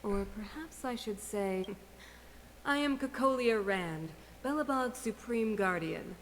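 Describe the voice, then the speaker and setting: A woman's voice: a little high, animated. Cool commanding queen-type leader for a game, echoing like a big hall. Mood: measured. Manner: measured, commanding, regal. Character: stern, composed female city leader, middle-aged. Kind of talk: game cutscene voice acting. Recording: long hall reverb, faint noise.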